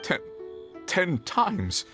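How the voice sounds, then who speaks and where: A man's voice: high-pitched, wildly swooping pitch, extremely slow, exaggerated voice. A man voicing a shifty, blustering and easily flustered merchant in a video game, with a theatrical delivery. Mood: shocked. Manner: theatrical, blustering, stammering under pressure. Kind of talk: animated video game voice acting. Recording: music behind the voice.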